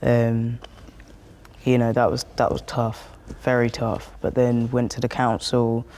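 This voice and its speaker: British man with a flat, colloquial delivery. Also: mid-range voice, a little slow, slight background noise. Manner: colloquial, acts out remembered exchanges. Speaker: housing tenant interviewing another tenant. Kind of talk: casual personal storytelling and chat.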